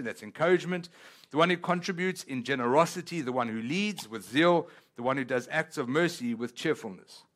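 A middle-aged man from South Africa, a pastor whose first language is Italian, preaching a sermon in a church with humor and slowing down to read scripture. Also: mid-range voice. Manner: lively and humorous, slows down for scripture. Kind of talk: sermon with prayer and scripture reading. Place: church auditorium with congregation.

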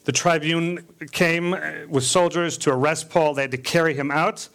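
A man's voice: fairly high pitch, fairly even intonation, normal speed, fairly bright tone, clean tone. A man from South Africa who grew up in the American Midwest, preaching as a deacon in church and telling his own testimony in a conversational way with frequent fillers and humorous asides. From born in South Africa, raised in the US Midwest. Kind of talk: sermon with personal testimony. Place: church stage.

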